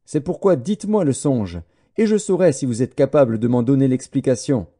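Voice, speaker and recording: Audiobook scripture: male narrator as troubled king, dark mellow tone, animated, commanding and threatening. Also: medium pitch, a little fast, slightly rough. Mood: stern, threatening. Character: troubled, suspicious king issuing harsh threats. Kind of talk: dramatised scripture reading.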